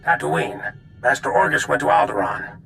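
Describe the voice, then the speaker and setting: A man playing a gruff, impatient military general in a dramatic video game. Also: fairly high pitch, animated, normal speed, bright, forceful tone, faint music behind, full character voice. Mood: urgent, stern.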